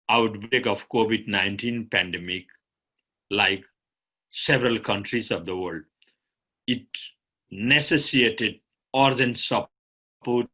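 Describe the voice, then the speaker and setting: A man's voice: lowish voice, pushed and bright. Nepali-accented man giving formal speech. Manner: measured, ceremonious, stately delivery. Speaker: embassy official.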